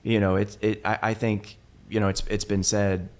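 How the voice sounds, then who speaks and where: A man's voice: lowish voice, little pitch movement, normal speed. A young man, a lead pastor, speaking earnestly and reflectively in conversation, with some restarts.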